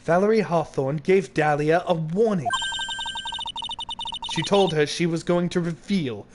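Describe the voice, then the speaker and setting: A man's voice: a little high, unhurried, background noise. A young man hosting a casual let's-play, thinking aloud, joking and reading game text. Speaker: podcast host playing a game.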